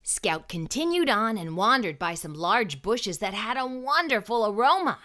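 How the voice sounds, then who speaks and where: A woman reading a storybook aloud to young children, with expressive, emphatic delivery and deliberate phrasing. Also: mid-range voice.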